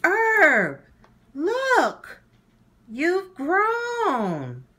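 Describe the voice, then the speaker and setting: A woman's voice: very high-pitched, huge pitch swings, very slow, pitched above the performer's own voice, full character voice. A woman voicing a kind, cheerful young girl in a storybook, speaking in exclamations. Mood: amazed. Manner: bright, exclamatory.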